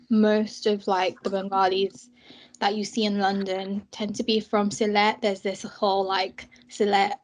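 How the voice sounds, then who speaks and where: A woman's voice: medium pitch, low-quality recording. A young woman from Bangladesh, a student union president, chatting casually and laughing often as she tells her story. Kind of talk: casual chat while eating snacks. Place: indoors, student union room.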